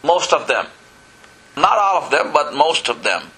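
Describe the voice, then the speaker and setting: A man's voice: fairly high pitch, sing-song, measured pace, sharp tone, poor audio. A man from Pakistan, preaching a sermon in church, earnest and full of "you know" and calls of "Amen?". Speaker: pastor.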